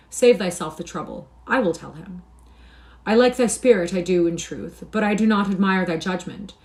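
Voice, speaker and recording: A woman reading aloud and voicing a rough, scheming young male thief and beggar with a scoffing, swaggering manner. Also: fairly low pitch, close to an everyday voice. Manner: archaic swaggering speech, scoffing.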